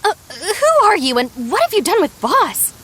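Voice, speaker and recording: A woman's voice: very high-pitched, wildly swooping pitch, moderate pace, fairly bright tone, full character voice. A woman playing a sensible, wry young second-in-command of a gang in a video game, matter-of-fact and polite. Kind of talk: animated video game voice acting.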